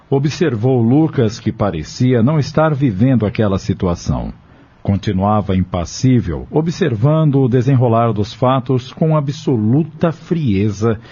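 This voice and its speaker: A man's voice: lowish voice, dark tone, slightly rough. A man narrating a dramatic audio novel from a script in a solemn, literary manner. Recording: dull lo-fi audio with music behind. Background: music.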